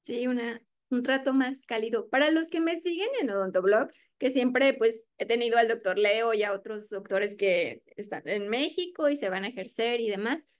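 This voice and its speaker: A woman's voice: fairly high pitch, normal speed, few pauses. A woman hosting an interview, asking friendly questions and giving short backchannels. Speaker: interview host. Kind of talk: casual interview chat.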